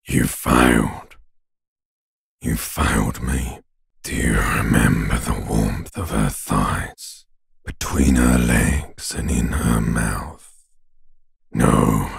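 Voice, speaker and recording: An ancient, monstrous reptilian voice from inside the mind, extremely low and thick with gravel. It growls hoarsely and very slowly, taunting and menacing in dramatic video game style. The sound is close and dry. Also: male voice, a little mellow, no room sound, heavily acted. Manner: slow, drawn-out, taunting delivery. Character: ancient reptilian brain, menacing mocking inner voice.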